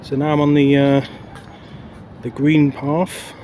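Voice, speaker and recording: A man's voice: fairly high pitch, completely flat delivery, takes it slowly, mellow. Out-of-breath man rambling outdoors. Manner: rambling, chatty, with frequent fillers. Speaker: travel vlogger. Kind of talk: casual walking commentary. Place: outdoors on city streets and a park walkway. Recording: outdoor mic with traffic noise. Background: traffic and city ambience.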